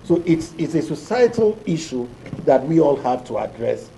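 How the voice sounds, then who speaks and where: A middle-aged Nigerian man, an electoral official, answering interview questions in a TV studio in a deliberate, hesitant way with many fillers. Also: a little high, big pitch swings, creaky, dark tone, a little hiss or hum, low-quality recording. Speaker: electoral commission official, guest.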